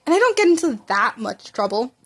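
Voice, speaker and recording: A woman's voice: fairly high pitch, sing-song, fairly quick, fairly bright tone, heavily acted. A woman voicing an earnest, self-doubting teenage boy who rambles hesitantly and talks back, in a fan fiction audiobook. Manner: hesitant rambling with sarcastic retorts.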